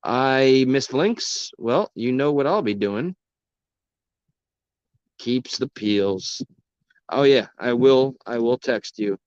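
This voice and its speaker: A man's voice: mid-range voice, expressive, takes it slowly, long pauses, darkish tone, clean tone, no room sound, lo-fi sound. A man hosting a livestream and chatting with viewers, rambling and passionate, swearing often, his voice worn from talking a long time. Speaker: livestream host. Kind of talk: casual livestream talk to chat.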